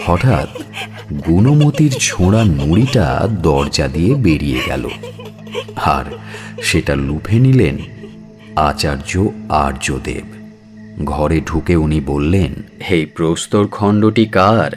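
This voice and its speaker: A man with a very deep voice narrates an audio story. His delivery is measured and slow, yet his pitch moves expressively through the telling, over soft music. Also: animated.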